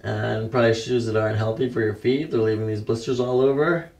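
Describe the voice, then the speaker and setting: A man's voice: fairly low pitch, steady tone, a little fast, gravelly, a little mellow. A middle-aged man working as a chiropractor, talking through a clinical exam in a matter-of-fact way with clipped questions. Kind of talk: clinical consultation and exam commentary. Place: indoor chiropractic office. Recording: distant mic in an office.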